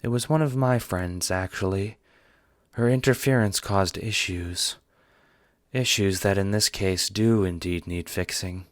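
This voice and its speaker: A man voicing a blunt female alien troll time traveler in an audio drama, speaking formally and with measured care. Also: low voice, no room sound, studio quality. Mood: blunt, solemn. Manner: formal, measured, few contractions.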